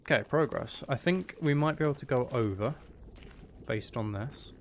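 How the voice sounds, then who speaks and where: A man's voice: mid-range voice, normal speed, noisy background. A man giving casual gameplay commentary, muttering and thinking aloud to himself. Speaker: gaming video host.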